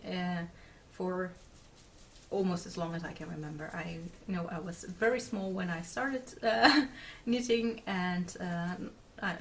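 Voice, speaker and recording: A Dutch woman in her twenties chats casually to camera in English, her second language, scattering ums and soft giggles. Her voice sits at a middling pitch. She is recorded at home on a mic set a little away from her, with a faint hiss behind. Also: far from the mic. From Netherlands. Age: 20s. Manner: chatty, many filler words and giggles. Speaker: knitting podcast host. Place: small room at home, indoors.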